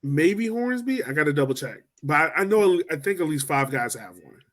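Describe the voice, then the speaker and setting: A man's voice: a little high, expressive, moderate pace. A man hosting a casual sports podcast, talking loosely and rambling as he lays out his points. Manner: loose, rambling, laughs easily. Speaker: podcast host.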